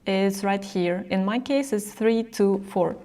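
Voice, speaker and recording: A woman presenting a software tutorial, explaining each step directly to the viewer as she narrates a screen recording. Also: mid-range voice, slow.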